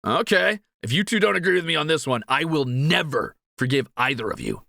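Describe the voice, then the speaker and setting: A cocky young fantasy thief, acted by a man, swinging animatedly from bragging to jitters. His voice is bright and raised, with some creak at the edges, recorded close and dry. He jokes and exclaims readily, in audio roleplay style. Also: fairly high pitch, no room sound, full character voice. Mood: excited, eager. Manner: joking, animated, quick to exclaim.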